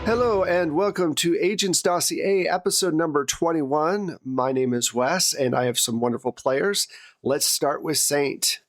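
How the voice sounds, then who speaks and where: A man's voice: fairly high pitch, unhurried. A man running a tabletop horror game, narrating conversationally with wry asides. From Wisconsin. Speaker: game master.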